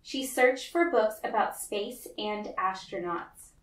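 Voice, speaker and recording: A woman reading a picture book aloud to children, with deliberate, expressive storytelling narration. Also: medium pitch. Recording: roomy, echoey, distant mic.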